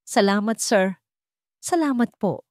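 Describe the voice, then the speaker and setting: A woman's voice: medium pitch, lively intonation, a little slow, a little mellow, no echo, clean studio sound, pitched above the performer's own voice, natural voice. A woman voicing an earnest, nervous young male job applicant who speaks politely and pleadingly in a dramatic story. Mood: grateful, reassuring.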